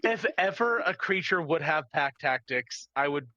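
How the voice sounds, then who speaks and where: A man's voice: fairly high pitch, expressive, moderate pace, bright, forceful tone, dry recording, lo-fi sound. A man playing a tabletop game, chatting casually and jokingly in a wry, chuckly way.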